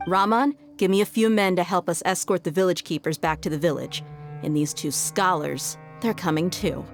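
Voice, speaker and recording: A woman's voice: lowish voice, moderate pace, soft background music, close to an everyday voice. A woman playing a confident, easygoing young desert mercenary leader in a video game, casual but used to command. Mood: calm, commanding. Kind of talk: scripted game dialogue.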